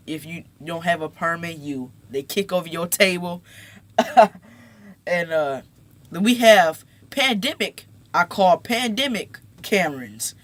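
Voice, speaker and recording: Teenage male YouTuber, slightly high voice, slow unhurried delivery, halting and emphatic, recorded on a phone. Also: a bit bright. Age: teens. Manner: halting, repeats words, gets emphatic. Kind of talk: casual talk to camera.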